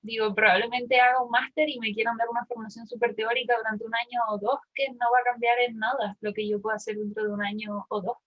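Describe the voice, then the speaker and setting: A woman's voice: on the high side, level tone, quick pace, hardly any pauses, muffled recording. A woman in her twenties from Argentina, talking candidly and casually in a personal, reflective way with youthful slang. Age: 20s. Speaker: participant in a group discussion.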